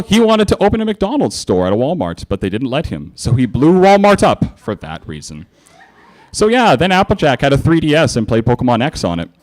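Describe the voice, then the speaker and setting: A man giving a theatrical, sardonic live comic reading of fan fiction, with mocking asides. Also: on the high side. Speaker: fan fiction reader at a convention.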